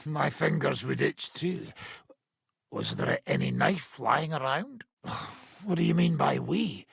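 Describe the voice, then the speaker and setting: A very hoarse, heavily gravelled medieval mercenary boss from a video game. He is gruff, sardonic and blunt, giving orders with a pitch that moves about freely. The sound is close and dry. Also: expressive, takes it slowly, dry recording, exaggerated voice. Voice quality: gravelly, raspy. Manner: blunt, sardonic, commanding, swearing. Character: gruff, foul-mouthed ageing medieval mercenary band leader.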